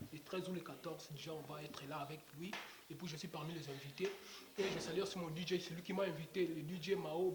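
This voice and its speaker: A young Congolese man, a rapper, talking casually in an interview, rambling with lots of fillers and restarts. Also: fairly high pitch. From Democratic Republic of the Congo. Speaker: rapper guest. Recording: echoey room with clear background noise.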